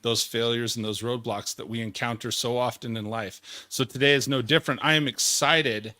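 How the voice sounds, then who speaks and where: An upbeat man hosts a leadership interview with bright, forceful energy. His voice is close and dry, with no room sound, and he talks eagerly, often doubling words. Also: mid-range voice, normal speed, no echo. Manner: enthusiastic, energetic, repeats words. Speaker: podcast host.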